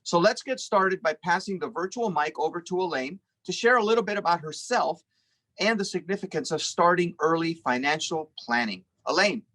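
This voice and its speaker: A man's voice: sits high, animated, normal speed, bright, forceful tone. A man moderating a webinar over a video call, giving a formal, scripted introduction. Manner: formal, read-aloud delivery.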